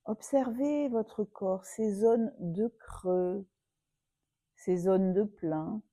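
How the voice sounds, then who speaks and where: Very mellow, slow-speaking woman guiding relaxation. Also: mid-range voice, no room sound. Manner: soothing, unhurried, with long pauses. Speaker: yoga teacher. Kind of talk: guided relaxation meditation.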